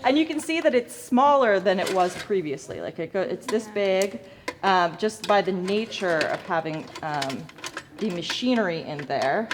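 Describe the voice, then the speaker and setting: A woman's voice: medium pitch, expressive, normal speed. Woman instructor in a reverberant hall. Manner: hesitant, self-correcting, good-humored. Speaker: lighting design instructor. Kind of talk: informal hands-on demonstration to students. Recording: echoey reverberant hall, background noise.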